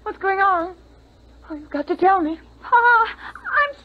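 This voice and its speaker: A woman playing a frightened, shrill young sister in a 1950s radio drama. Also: animated, measured pace. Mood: panicked. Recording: dull old radio recording.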